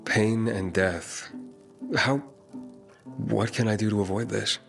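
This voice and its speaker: Quiet low-voiced drifter hero, few words, soft music behind. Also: male voice, steady tone, slow pace, gravelly. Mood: guarded. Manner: laconic, guarded. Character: guarded young male wanderer hero.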